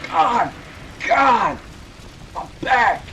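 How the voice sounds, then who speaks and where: A man's voice: high voice, very animated, measured pace, fairly bright tone, a little hiss or hum, heavily acted, low-quality recording. A man playing a panicky, foul-mouthed young superhero sidekick in a comic dub, whiny and profane. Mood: panicked, despairing. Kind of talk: comic book dub acting.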